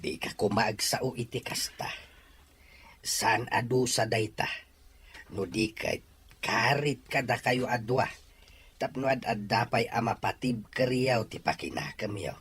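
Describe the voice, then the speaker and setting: A man's voice: mid-range voice, fairly even intonation, a little slow, fairly bright tone, slight background noise. A man acting an earnest, consoling older father in a Filipino audio drama. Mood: consoling, pleading.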